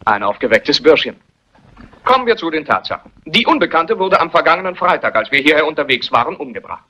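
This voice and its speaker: An actor playing a sardonic adult son of a wealthy family, mocking and provoking in crime drama dialogue. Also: male voice, a little high, very animated, fast, sharp tone, full character voice. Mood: mocking interrogation. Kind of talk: German crime film dubbing, theatrical dialogue. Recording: dull lo-fi vintage soundtrack.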